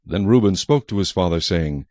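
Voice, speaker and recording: Deep, expressive male scripture narrator. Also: moderate pace, no echo.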